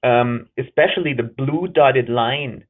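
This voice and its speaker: A man's voice: medium pitch, a little slow. German-accented man on a tinny line. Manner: earnest, with frequent uh fillers and self-corrections. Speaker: presenter. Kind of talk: webinar research presentation. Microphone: video call.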